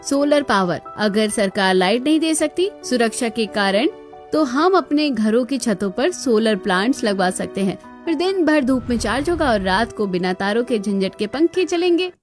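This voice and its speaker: High-voiced, outspoken young village daughter-in-law, played by a woman. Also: expressive, moderate pace, faint music behind, close to an everyday voice. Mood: earnest, persuasive. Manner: assertive, questioning, persuasive. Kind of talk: Hindi cartoon story dialogue.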